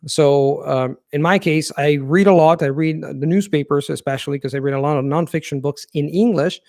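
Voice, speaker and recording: Earnest man, higher-pitched, dry sound. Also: moderate pace, darkish tone, no room sound. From Italy. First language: Italian. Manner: thoughtful and earnest, explaining at length. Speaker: language-learning channel host. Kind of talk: live Q&A, reading viewer questions and answering.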